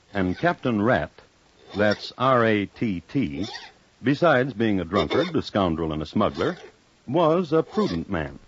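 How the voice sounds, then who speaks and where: A man playing a wry, urbane crime-story host, narrating with droll, deliberate precision in old-time radio style. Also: lowish voice, a little hiss or hum. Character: wry, urbane connoisseur of crime telling murder tales. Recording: dull old radio recording. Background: music.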